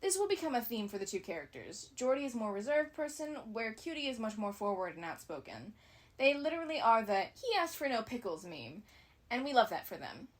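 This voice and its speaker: A woman's voice: on the high side, sing-song, fairly quick. A woman giving scripted analysis commentary as a video essayist, with casual asides.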